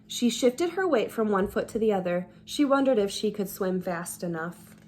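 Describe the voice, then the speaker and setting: A woman storyteller reading a children's book aloud with expressive, deliberate, dramatic phrasing. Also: medium pitch, slight background noise. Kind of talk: expressive read-aloud narration.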